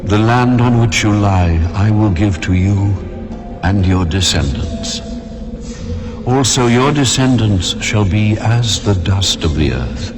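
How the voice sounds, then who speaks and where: Deep, slow, majestic God. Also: male voice, expressive, over music. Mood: solemn promise. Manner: solemn, authoritative, declaratory. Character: God of the Bible, solemn, commanding, majestic. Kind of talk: dramatized scripture reading.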